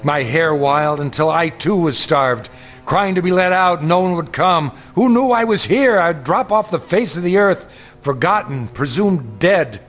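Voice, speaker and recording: A man's voice: on the high side, lively intonation, a little slow, fairly bright tone. A man acting a weary, anxious and skeptical traveler in a radio drama, both narrating and speaking in a brooding, literate, dramatic way. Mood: dread. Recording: music bed under the voice.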